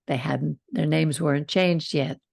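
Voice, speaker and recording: Older lady leading scripture study on a video call, low gravelly voice, slow and calm, dry sound. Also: dry recording. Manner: slow, calm reading with side comments. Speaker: Torah study host. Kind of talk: reading scripture and teaching.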